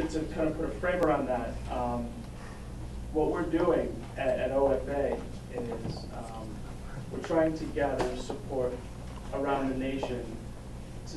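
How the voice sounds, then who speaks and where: A male organizer giving a halting town hall speech full of restarts. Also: medium pitch, noisy background. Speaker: volunteer organizer. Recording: echoey hall recorded from far off.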